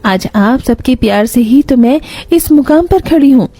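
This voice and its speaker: A woman's voice: sits high, lively intonation, normal speed, warm tone. A woman voicing a kind, diligent young daughter-in-law in a cartoon story, polite and reassuring. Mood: grateful.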